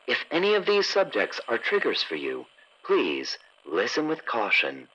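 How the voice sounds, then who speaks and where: A man's voice: medium pitch, huge pitch swings, normal speed, sharp tone, lo-fi sound. A man reading a scripted podcast introduction as announcer, formal and theatrical.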